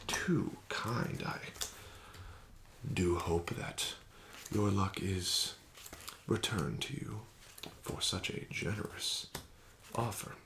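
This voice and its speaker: A low, gravelly male voice speaks slowly and formally, dropping into soft whispered asides. His pitch rises and falls with lively, teasing inflection. A steady hiss of background noise sits under the recording. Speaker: ASMR roleplay casino dealer. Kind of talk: ASMR roleplay.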